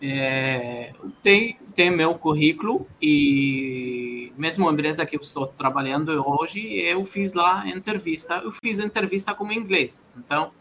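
A man with a slightly raised, bright, forward tone speaks Portuguese as a learned language, pausing and restarting often. A faint hiss sits under the voice. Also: on the high side. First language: not Portuguese. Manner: halting, hesitant non-native Portuguese with many restarts. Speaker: guest developer. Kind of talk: live stream chat.

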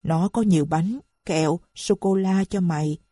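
A woman's voice: fairly low pitch, moderate pace, dark tone, dry recording, studio quality, lightly acted. Woman doing a bitter stepfather. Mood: sorrowful. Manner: gruff retorts, turning sorrowful. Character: bitter, defiant middle-aged stepfather.

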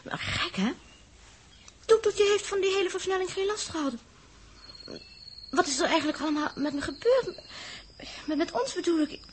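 A childlike young space traveller, very high in pitch. She is fragile and wide-eyed, halting over her words in a vintage radio drama. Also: lively intonation, slight background noise. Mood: puzzled, wondering. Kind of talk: 1960s Dutch radio drama acting.

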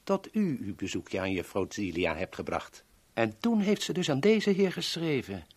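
Husky, courteous cold-blooded gunman. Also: mid-range voice, big pitch swings, darkish tone. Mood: polite, wry. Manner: formal, deliberate, drily polite. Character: courteous, cold-blooded male gunman, dryly matter-of-fact. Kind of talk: 1970s Dutch radio drama acting.

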